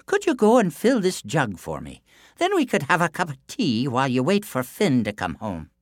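A man voicing a clever, calm giant's wife in a storybook tale, soothing and sly in a raised voice. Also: on the high side, moderate pace, dry recording, studio quality, voice pushed up.

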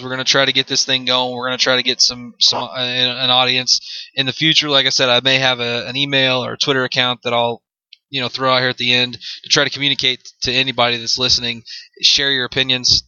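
A man's voice: medium pitch, pushed and bright, clear, resonant tone, no echo, dull audio. A man in his mid twenties with an American accent, hosting an unscripted solo sports podcast in a rambling way, often repeating his phrases. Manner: rambling, repeats phrases, leans on "you know". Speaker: podcast host.

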